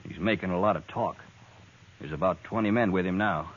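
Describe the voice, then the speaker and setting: Vintage radio drama, grumbling western barfly, low pitch, raspy, blunt. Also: male voice, a little fast. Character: grumbling hungover cowtown drinker, reluctant informant. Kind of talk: old-time radio western acting. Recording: muffled old radio recording.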